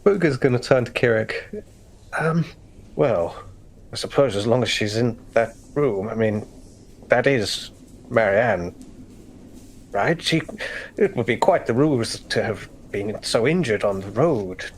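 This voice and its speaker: A man playing a tabletop game, talking in character with measured, slightly formal phrasing. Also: medium pitch, animated, slight background noise, low-quality recording. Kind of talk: in-character roleplay.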